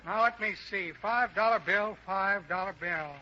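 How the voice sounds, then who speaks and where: A man's voice: high voice, moderate pace, bright, forceful tone. An actor playing a boastful, shifty magician and con man with pompous, florid patter in an old American radio comedy. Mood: rambling, evasive. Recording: muffled, hissy old-time radio recording.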